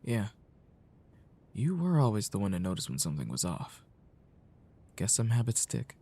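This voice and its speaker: A man playing a caring, wistful childhood friend in an ASMR roleplay, talking softly with a halting, reflective delivery. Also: lowish voice, wildly swooping pitch, breathy edge, exaggerated voice. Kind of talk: ASMR roleplay, soft intimate talk.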